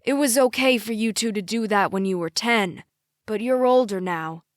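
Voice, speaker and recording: A woman's voice: medium pitch, normal speed, no echo, studio quality, natural voice. Woman voicing a disapproving, admonishing dad. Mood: awkward, disapproving. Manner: admonishing, annoyed, emphatic. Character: disapproving, awkward, imposing father of a teenage girl. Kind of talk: audiobook character dialogue.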